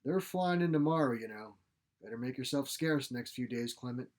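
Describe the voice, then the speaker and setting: A man's voice: medium pitch, animated, fairly quick, natural voice. Man voicing a feisty, blunt old mother. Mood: bossy, grumbling. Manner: blunt, folksy, grumbling. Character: feisty, sharp-tongued elderly widowed mother, tired old biddy. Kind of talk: short story character reading.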